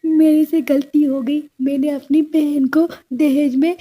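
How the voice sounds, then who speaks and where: A cowardly male crow thief in a cartoon story, voiced by a woman. He pleads slowly in a high, soft-edged voice, all apology. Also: flat delivery. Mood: pleading. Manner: apologetic, begging.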